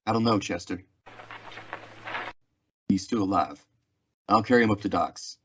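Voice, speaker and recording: A man's voice: on the low side, a little slow, a bit bright, a little hiss or hum. A man acting a weary, stoic frontier marshal in an old-time radio western drama. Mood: grave. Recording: dull, lo-fi audio.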